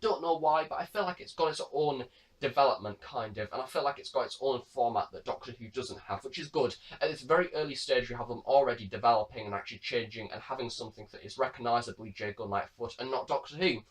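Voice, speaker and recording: A man's voice: mid-range voice, fast. A man giving a review commentary in a rambling, hedging way. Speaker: reviewer, host of an audio drama review series.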